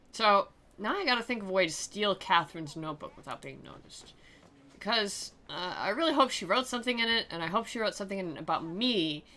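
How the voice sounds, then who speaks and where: Sarcastic, forceful woman reading a game aloud. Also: medium pitch. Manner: theatrical, playful, sarcastic. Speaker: game commentator and dramatic reader. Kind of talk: sarcastic visual novel read-aloud with commentary. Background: music.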